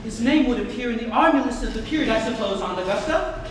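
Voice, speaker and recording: Animated, excitable young gentleman played by a man. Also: very high-pitched, quick pace. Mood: urgent. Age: young adult. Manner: theatrical, exclamatory. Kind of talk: dramatic reading of a stage comedy. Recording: echoey hall from far off, noisy.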